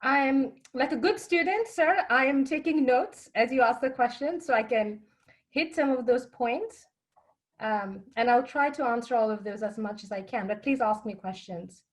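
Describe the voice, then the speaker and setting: A woman speaks to students as a guest on a video call, polite and measured. Her voice sits somewhat higher than average. She talks informally, as if sitting in a classroom. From Bangladesh.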